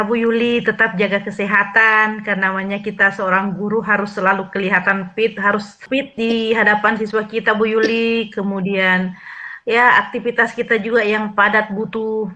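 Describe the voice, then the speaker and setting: A woman's voice: fairly high pitch, bright, forceful tone. A woman with a Central Sulawesi Indonesian accent coaching a teacher, encouraging and affirming, asking guiding questions. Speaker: academic supervision coach. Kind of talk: role-play coaching conversation. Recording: dull lo-fi recording.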